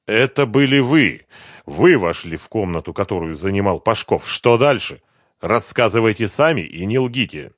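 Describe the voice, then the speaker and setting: A man voicing a calm, commanding detective who questions a suspect in an audiobook, level and firm. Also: on the low side, moderate pace, no room sound, studio quality. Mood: pressing, accusing. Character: calm, relentless male detective interrogating a suspect. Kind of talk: audiobook detective novel dialogue.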